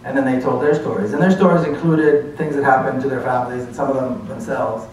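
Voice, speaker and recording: A man's voice: mid-range voice, steady tone, fast talker, darkish tone, slight background noise. A man in his forties from Israel with an Israeli accent, earnestly telling his story in a university lecture. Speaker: speaker. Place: lecture hall. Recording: echoey hall from far off.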